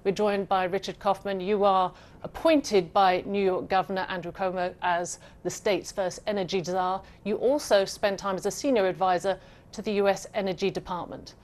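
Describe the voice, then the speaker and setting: A woman presenting a television business programme in a clear, measured, emphatic broadcast style. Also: medium pitch, steady tone, a little mellow. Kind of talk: broadcast presenting, scripted narration and panel introductions.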